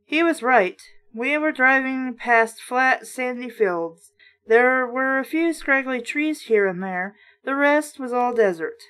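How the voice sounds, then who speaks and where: A woman's voice: high-pitched, lively intonation, slow, fairly bright tone. A woman reading a children's book aloud and breaking in with sarcastic, blunt, casual commentary. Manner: sardonic, blunt, sweary.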